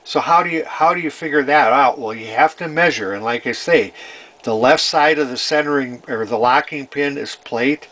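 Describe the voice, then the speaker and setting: A man with a medium-pitched voice explains technical repair work in an informal way. He goes through it methodically, with a halting delivery, often repeating words and phrases as he demonstrates. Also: pushed and bright. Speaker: vintage radio repair hobbyist presenting.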